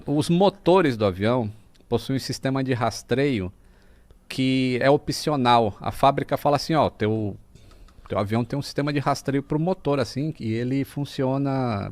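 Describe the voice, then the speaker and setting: Animated Brazilian man explaining things casually. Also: mid-range voice, no echo. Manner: animated storyteller, mimics sounds while explaining. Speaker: podcast guest. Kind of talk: casual explanatory podcast talk.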